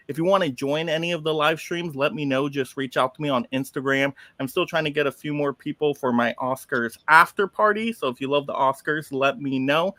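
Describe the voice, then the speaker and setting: A man's voice: fairly high pitch, bright, forceful tone, dry recording. A man hosting a casual online chat with fellow creators, chatty and promoting his channel. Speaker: host.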